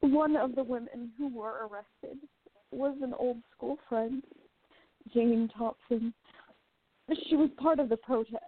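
A woman's voice: a little high, unhurried. A woman playing an earnest, impassioned young 1920s newspaper columnist in an amateur radio play. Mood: tender. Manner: earnest, impassioned about women's rights. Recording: tinny phone-like audio.

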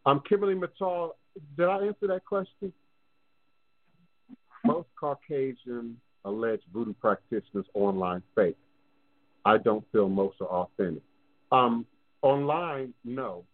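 A man's voice: mid-range voice, slightly rough. Emphatic New Orleans man on a phone line. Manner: emphatic, repeats phrases for stress. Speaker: radio show host and voodoo priest. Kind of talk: live call-in radio talk.

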